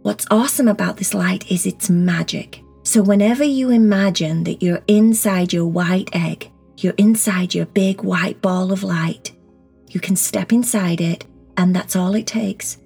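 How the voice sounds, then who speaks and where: A woman leads a guided meditation for children, speaking softly and breathily, often close to a whisper, in a gentle, reassuring way with simple words. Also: medium pitch, unhurried, gravelly, slightly rough. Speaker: guided meditation teacher and host. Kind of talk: children's guided meditation, slow soothing instruction. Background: soft background music.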